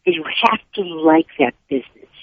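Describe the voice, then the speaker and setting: A woman's voice: sits low, expressive, slight background noise. Chatty older woman on a phone line. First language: Yiddish. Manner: upbeat, rambling, quick tangents. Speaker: author guest. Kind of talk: casual interview chat.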